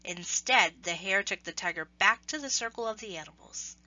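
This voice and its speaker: A woman storyteller with a bright, forward voice reads a children's tale expressively and deliberately. The recording is dry and close, though slightly dull in tone. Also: mid-range voice, no echo. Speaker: storyteller reading aloud. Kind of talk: scripted children's story narration.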